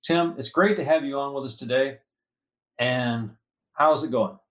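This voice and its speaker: A male host leads an interview with bright, scripted introductions and questions. His voice sits at a middle pitch for a man. The audio is a little dull and lo-fi. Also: a little slow. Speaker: interview host, security advisor. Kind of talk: hosted interview.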